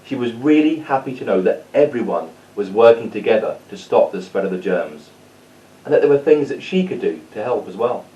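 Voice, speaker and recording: A man's voice: lowish voice, expressive, a little fast, dark tone. A man speaking as a teacher, telling a story and chatting encouragingly to children. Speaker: teacher reading a story to pupils. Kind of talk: storytelling and informal address to children. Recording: dull sound, distant from mic.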